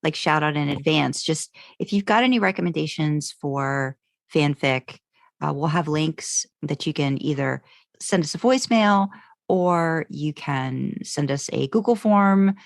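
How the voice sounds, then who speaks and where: A woman's voice: low-pitched, a little slow, gravelly, no echo, clean studio sound. A woman co-hosting a podcast, talking casually and informally in a self-deprecating way. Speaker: podcast co-host.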